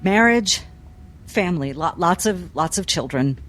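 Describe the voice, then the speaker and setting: A flustered, defensive doctor, halting speech. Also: female voice, fairly low pitch, animated, a bit bright. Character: guarded, flustered woman doctor, defensive under questioning. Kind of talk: 1960s cinematic drama acting.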